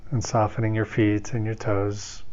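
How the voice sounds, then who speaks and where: A man leading a guided meditation as its teacher, speaking gently and soothingly, in an unhurried way with long pauses. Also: on the low side, monotone, muffled recording.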